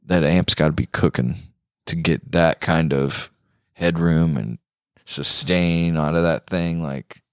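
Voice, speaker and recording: A man's voice: very deep voice, takes it slowly, clear, resonant tone, no echo, studio quality. A man chatting casually as a show co-host, talking in an emphatic, slangy way like a guitar enthusiast. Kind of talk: casual chat.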